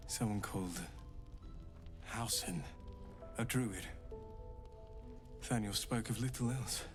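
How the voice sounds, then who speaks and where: A man playing a haunted, desperate video game character, halting and pleading after being lost in shadows. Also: lowish voice, music in the background. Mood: haunted, dazed.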